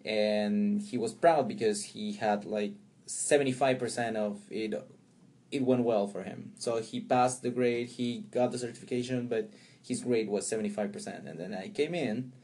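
Low-voiced Peruvian man telling a story. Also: steady tone, normal speed, darkish tone. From Peru. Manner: rambling storyteller with many fillers and restarts, laughs often. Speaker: guest. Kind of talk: casual interview chat.

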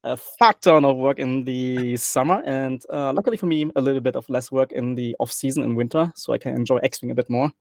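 A man's voice: mid-range voice, fairly quick, vocal fry, few pauses, darkish tone, no room sound. Jokey German man with a dry sound. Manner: jokey, laughs often, repeats words. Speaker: podcast guest. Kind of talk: casual chat.

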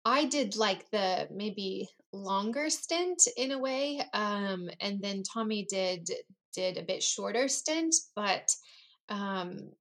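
A woman's voice: medium pitch, expressive, takes it slowly, fairly bright tone. A woman chatting casually as a co-host, talking loosely with lots of fillers and restarts. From United States. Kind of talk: casual conversation.

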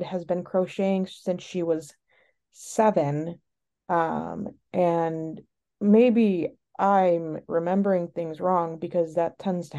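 A woman's voice: on the low side, warm tone. A woman co-hosting a podcast in casual, rambling, frank chat, sounding a little sniffly. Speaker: podcast co-host.

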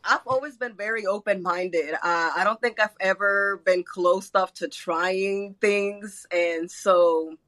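A woman's voice: mid-range voice, takes it slowly, hardly any pauses, fairly bright tone. A young woman from Mexico, a guest artist chatting casually, giggling and rambling as she talks. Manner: giggly, rambling, laughs often. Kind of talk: casual chat.

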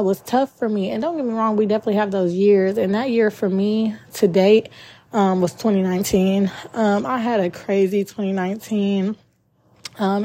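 A young woman in her twenties with a raspy voice, just up from a nap, hosting her own podcast in a chatty, rambling monologue with many ums. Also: mid-range voice, dark tone. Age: 20s. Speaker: podcast host. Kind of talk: casual solo podcast monologue.

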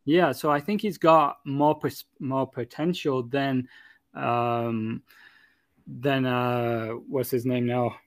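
A man's voice: medium pitch, fairly even intonation, slow pace, no echo. A man from Manchester, chatting casually about football, rambling with many fillers and restarts. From Manchester, England. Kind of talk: casual chat.

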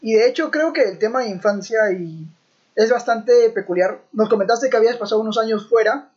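A woman's voice: on the high side, brisk, a little mellow, breathy edge. A young woman, hosting a podcast in a friendly, conversational way. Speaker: podcast host. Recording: dull lo-fi sound.

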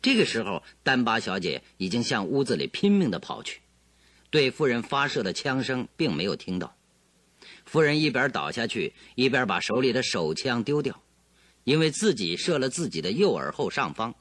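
A confident, analytical detective in a radio drama. His mid-pitched voice moves expressively through assured, theatrical explanations. The sound is close and dry. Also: very animated, normal speed, a bit bright, no echo. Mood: assured, explaining. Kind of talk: Chinese radio drama acting.

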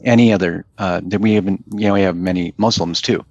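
Male guest, deep gravelly voice, dull dry remote audio, rambling. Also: fairly even intonation, fast talker, darkish tone, dry recording. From United States. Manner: rambling, loose, with fillers and restarts. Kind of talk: casual conversational interview.

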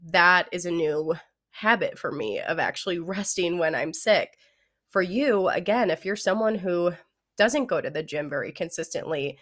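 Young woman w a head cold, stuffy nasal voice, a bit low, chatty coach talk full of likes. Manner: chatty, many fillers like "like" and "you know". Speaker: fitness coach podcast host. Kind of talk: casual solo podcast advice.

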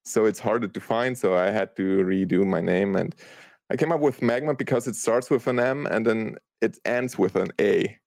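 A man's voice: on the low side, normal speed, vocal fry, dry recording. A young man with a German accent, a native German speaker, chatting hesitantly as a guest on a podcast. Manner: hesitant, soft-spoken, many restarts. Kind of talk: casual podcast chat.

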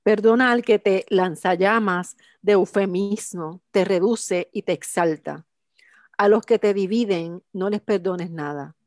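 A woman reads poetry aloud with slow, declamatory weight. Her voice is mid-pitched. The sound is dry and close. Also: darkish tone, dry recording. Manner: measured, declamatory reading. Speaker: radio co-host. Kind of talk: poetry reading and interview.

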